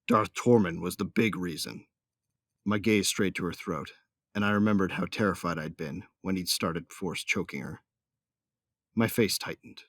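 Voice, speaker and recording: Man playing a deep-voiced, vengeful bounty hunter. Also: moderate pace, a bit bright, no echo, clean studio sound. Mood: brooding. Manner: tough, slangy, menacing. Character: brooding, vengeful, hot-tempered male bounty hunter. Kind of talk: first-person dramatic audio drama narration.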